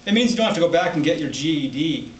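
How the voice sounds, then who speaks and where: A man's voice: on the high side, expressive, fast. A man reading his own literary short story aloud to a live audience as its author. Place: library event room, indoors. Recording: echoey room, distant mic, background noise.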